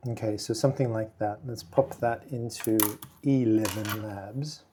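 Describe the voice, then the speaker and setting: A man casually walking through software on his screen, thinking aloud with halting fillers and restarts. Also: on the low side, fairly even intonation, background noise. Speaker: video creator testing software. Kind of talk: casual screen-recording walkthrough.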